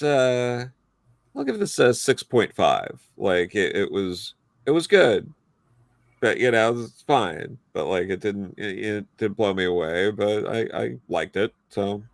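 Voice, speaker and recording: A man's voice: lowish voice, lively intonation, takes it slowly, gravelly, no room sound, clean studio sound. A man speaking as a guest panelist in a casual review, tentative and understated.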